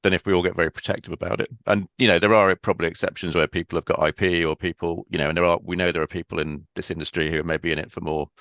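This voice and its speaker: A man speaking as a podcast guest in a casual interview, thoughtful and measured, often pausing on "um". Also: low-pitched, flat delivery, vocal fry, a bit bright, dry recording, dull audio. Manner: thoughtful, measured, with frequent ums.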